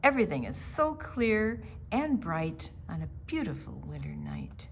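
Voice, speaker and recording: A woman reading a picture book aloud to young children in an expressive, question-and-answer storytime style. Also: on the low side, a little slow, creaky, slight background noise.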